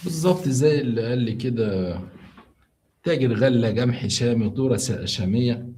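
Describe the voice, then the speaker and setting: A tired man with an Egyptian Arabic accent tells a true story to viewers on a live stream, chatty and full of halting restarts as he explains things along the way. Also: mid-range voice, mellow.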